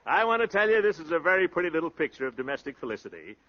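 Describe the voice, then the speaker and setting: An actor playing a brash, boastful young suitor in a 1930s radio comedy, talking in pompous, florid slang. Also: male voice, fairly high pitch, sing-song, racing. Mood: showy. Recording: dull, lo-fi 1930s radio recording.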